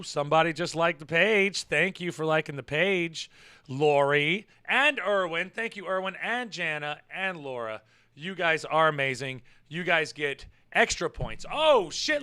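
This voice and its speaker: A man hosting a casual livestream, hoarse from yelling and talking in a rambling, swearing, chatty way with loud bursts of excitement. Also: a little high. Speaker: livestream show host. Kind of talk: casual livestream chat with viewers.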